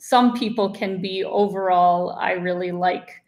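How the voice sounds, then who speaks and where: यूक्रेन में जन्मी और कनाडा में पली-बढ़ी एक युवा महिला, कनाडाई लहजे में बोलती है और इंटरव्यू में मेहमान के रूप में आराम से बात करती है, बार-बार "like" और "you know" कहते हुए।. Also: female voice, lowish voice, steady tone, unhurried, darkish tone. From Ukraine, raised in Canada. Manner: chatty, many "like" and "you know" fillers. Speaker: guest. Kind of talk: casual conversational interview.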